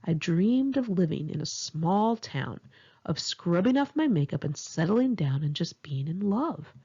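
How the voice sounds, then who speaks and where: A woman's voice: lowish voice, sing-song, measured pace, mellow, slightly rough, lo-fi sound. A woman reads her own memoir aloud in a candid, wry, conversational way, like a casual audiobook. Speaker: author reading own memoir.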